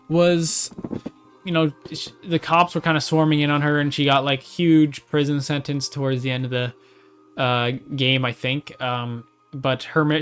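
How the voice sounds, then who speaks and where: Young male host, casual and halting, smooth tone, light music bed. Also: on the high side, fairly bright tone. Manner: rambling, hesitant, many restarts. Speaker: gaming video host. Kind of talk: casual unscripted commentary.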